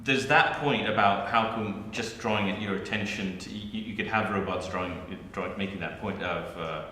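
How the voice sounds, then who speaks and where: A man's voice: lowish voice, moderate pace, pushed and bright, slight background noise. A man speaking on an academic panel, thinking aloud with many restarts and fillers. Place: university arts centre hall. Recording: roomy hall with long reverb.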